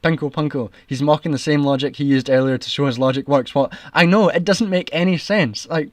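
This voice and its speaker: A young Scottish man streaming commentary, ranting in an indignant, sarcastic, argumentative way, his voice getting raspy. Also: on the high side, brisk.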